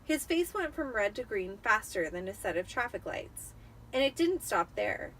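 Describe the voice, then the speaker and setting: Tipsy woman reading a story, higher-pitched. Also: sing-song, normal speed, fairly bright tone, recorded from a distance, a little hiss or hum, poor audio. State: tipsy from wine. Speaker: amateur story reader. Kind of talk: dramatic audiobook-style storytelling.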